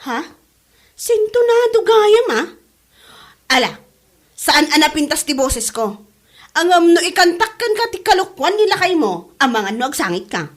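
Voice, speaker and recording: A woman's voice: extremely high pitch, very animated, normal speed, pauses often. A woman with an Ilocano accent playing a cheeky, teasing neighbour in a comedy audio drama. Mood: offended, taunting.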